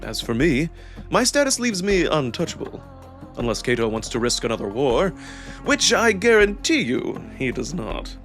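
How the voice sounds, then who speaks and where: A man voicing a suave young jackal prince who speaks in a measured, smug, theatrically polite way. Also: on the high side, big pitch swings, fairly bright tone, faint music behind. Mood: measured, cautioning.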